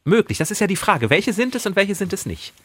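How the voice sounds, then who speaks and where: A man's voice: sits high, sing-song, fairly quick, fairly bright tone, husky, dry recording, studio quality. A man speaking as a podcast co-host, casually explaining things in a playful, bookish way. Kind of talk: casual book quiz and banter. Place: podcast studio.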